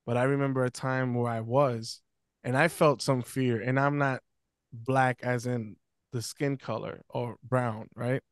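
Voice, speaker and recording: A young man talks earnestly from a small room at home, hesitant and full of fillers. His voice is clean and smooth, delivered in a steady, level tone, and close and dry on the desk mic. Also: medium pitch, measured pace, no room sound. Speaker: podcast guest speaker. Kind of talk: casual panel discussion. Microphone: close desk mic on stand.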